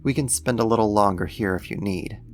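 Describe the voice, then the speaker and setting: A man playing a doting alien creator who soothes a human pet, affectionate and gently possessive, in an ASMR roleplay. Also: fairly low pitch, brisk, slight background noise. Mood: gentle, coaxing.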